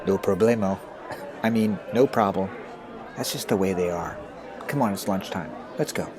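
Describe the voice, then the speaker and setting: A man playing a principled, friendly American high school boy who explains things earnestly in an audio drama. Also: normal speed, natural voice. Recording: busy crowd noise behind.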